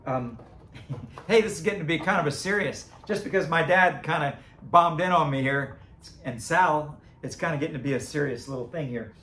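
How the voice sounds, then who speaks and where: A man's voice: fairly high pitch, moderate pace, a bit bright, roomy sound, a little hiss or hum. A man with a cracking, fading voice, chatting casually in a vlog, rambling, chuckling and correcting himself as he goes. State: losing his voice, cracking. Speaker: creator and seller of animatronic band items. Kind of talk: casual unboxing vlog chat.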